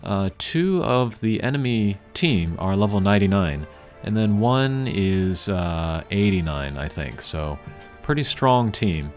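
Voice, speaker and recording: A man with a fairly low voice commentates on a video game in a casual, unscripted style. He is low-key and rambles a little, pausing often as he thinks. Game music plays behind him. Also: expressive.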